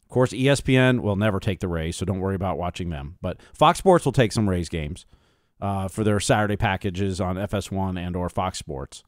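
A man's voice: sits low, expressive, brisk, no room sound, clean studio sound. A man co-hosting a sports podcast, casually chatting and handling listener questions.

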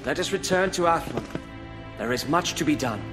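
An actor playing a composed, courteous young lord in a video game, speaking in a formal, stately and authoritative way. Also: male voice, on the high side, lively intonation, moderate pace, music in the background, close to an everyday voice. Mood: calm, commanding. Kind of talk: video game cutscene acting.

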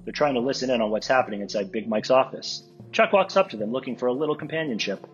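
A man's voice: mid-range voice, fast, lo-fi sound, faint music behind. A man reading screen directions aloud at a live table read.

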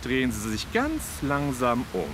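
Arresting officer for radio play, male actor: firm, stern, mid-pitched, extremely wide intonation. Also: huge pitch swings, unhurried, a bit bright, slight background noise. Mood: stern, controlled. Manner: firm, authoritative.

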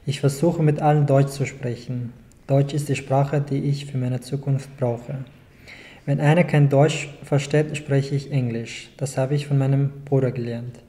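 A man in his twenties from Afghanistan, a native Dari speaker, reading his own text aloud in a calm, measured way at a panel table in a small club room. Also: medium pitch. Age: 20s. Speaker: guest author. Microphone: table mic on a short stand.

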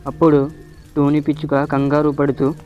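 A man's voice: a little high, monotone, moderate pace, soft background music. A man narrating a scripted children's story. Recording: dull lo-fi audio.

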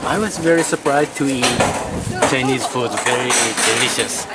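A man interviewing casually in halting, repetitive English. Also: medium pitch. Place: outdoors in an open courtyard. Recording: distant voice, loud outdoor ambient noise.

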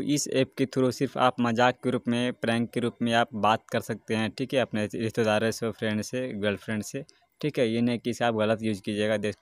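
A man's voice: fairly low pitch, dry recording. A man presenting a casual step-by-step tutorial as host, often adding a short check-in tag. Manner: repeatedly checks in with a tag phrase.

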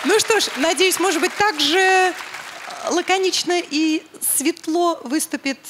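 A woman's voice: very high-pitched, a little slow. A woman co-hosting a live TV dance show on stage with a handheld mic, chatty with fillers. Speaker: TV show co-host. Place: large TV studio stage. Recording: live studio with crowd noise.

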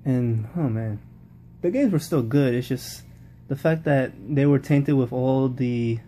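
A man's voice: medium pitch, a little slow, mellow, a little hiss or hum. A man giving casual, rambling post-game football commentary, often repeating himself and restarting sentences. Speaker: football vlogger.

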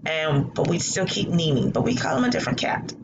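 Animated woman teaching a read-aloud lesson. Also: deep voice, a little fast, highs cut off, heavy background noise. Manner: animated and encouraging, praising answers. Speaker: teacher reading aloud to a class. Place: living room at home. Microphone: laptop webcam at close range.

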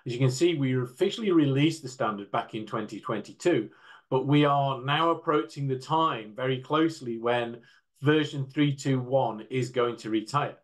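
A man's voice: mid-range voice, normal speed, low-quality recording. Older man presenting in an online panel. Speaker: panel speaker from a standards council. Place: indoors, on a video call.

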